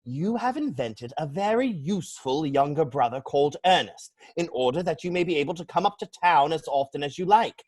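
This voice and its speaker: A man acts out a young English gentleman idler from a stage comedy. His highish voice swoops about as he delivers flippant wit with airy, pompous conviction. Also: expressive, fairly quick, few pauses. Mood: smug, teasing. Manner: pompous, airily mock-serious declaiming. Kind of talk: theatrical dramatic reading of a stage comedy.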